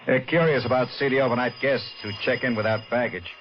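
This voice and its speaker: An actor playing a smooth, menacing schemer who drops to a low murmur, in 1940s radio acting. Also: male voice, fairly even intonation, fast talker, natural voice. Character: smooth sinister older male schemer. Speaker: scheming villain. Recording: dull noisy old radio recording.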